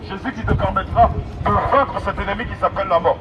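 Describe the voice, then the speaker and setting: High-pitched man preaching through a megaphone outdoors. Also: fairly quick. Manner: exhorting, repetitive, emphatic phrasing. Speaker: street evangelist. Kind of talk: open-air megaphone sermon. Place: outdoors in an urban public street. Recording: dull, distant megaphone sound, loud street noise. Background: traffic and city ambience.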